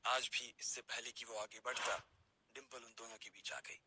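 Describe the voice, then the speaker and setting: A man's voice: mid-range voice, flat delivery, moderate pace, heavy background noise. A man narrating a scripted story as its narrator.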